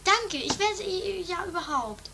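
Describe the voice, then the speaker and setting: A woman's voice: very high voice, animated, unhurried, gravelly, noisy background, full character voice. A woman voicing a bossy, impatient, flustered female schemer who stammers hectically in an improvised amateur comedy radio play.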